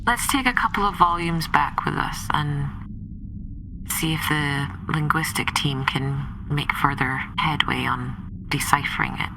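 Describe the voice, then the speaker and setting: A woman's voice: sits low, a little slow, vocal fry. A woman playing a tabletop game, casually speaking and narrating for her character as she thinks aloud. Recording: noticeable background noise.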